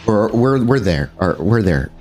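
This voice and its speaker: A man voicing a worried, loyal young woman in a tabletop roleplay, halting and blunt, exasperated but affectionate. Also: lowish voice, very animated, a little mellow, soft background music. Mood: concerned.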